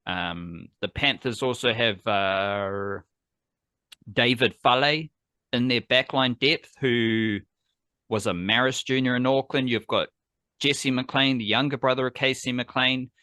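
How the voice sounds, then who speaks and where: A man's voice: mid-range voice, slow, pushed and bright, clean tone, no room sound, clean studio sound. A man hosting a casual sports podcast, rambling enthusiastically and correcting himself as he goes. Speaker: podcast host.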